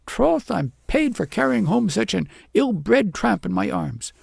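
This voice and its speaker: A man's voice: high voice, sing-song, unhurried, creaky, slightly rough, no echo, studio quality, pitched above the performer's own voice, heavily acted. A man voicing a short-tempered, scornful shepherdess in a storybook, brusque, bossy and indignant. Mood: outraged. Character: scolding, sharp-tongued shepherdess wife, short-tempered and scornful. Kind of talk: storybook character voice in audiobook.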